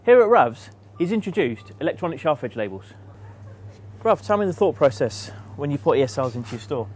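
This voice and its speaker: A man presenting and interviewing shop owners in brisk, upbeat questions. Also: on the high side, sing-song, darkish tone, breathy edge. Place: shops and community hall, indoors.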